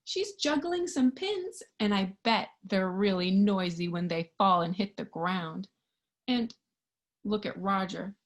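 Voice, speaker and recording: A woman reading a picture book aloud to young children in an expressive, child-directed storytime style. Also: medium pitch, slow. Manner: expressive, child-directed, with questions and sound effects.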